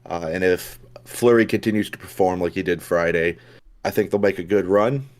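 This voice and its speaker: Hoarse low-voiced male podcast host. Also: normal speed. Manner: relaxed, chatty, with frequent fillers and restarts. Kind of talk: casual chat.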